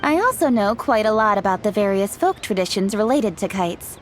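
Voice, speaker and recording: An actress playing a poised, earnest young woman official in a video game, speaking in an articulate, measured, explanatory way. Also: medium pitch, animated, a little hiss or hum, natural voice. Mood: thoughtful, earnest. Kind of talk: scripted video game dialogue acting. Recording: music behind the voice.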